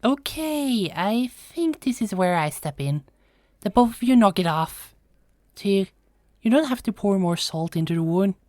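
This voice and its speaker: A woman's voice: mid-range voice, animated, unhurried, a little mellow, no room sound, clean studio sound, close to an everyday voice. A woman playing a teasing, kindly Norse goddess in a conversational fantasy audio roleplay. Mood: scolding. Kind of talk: fantasy ASMR roleplay acting.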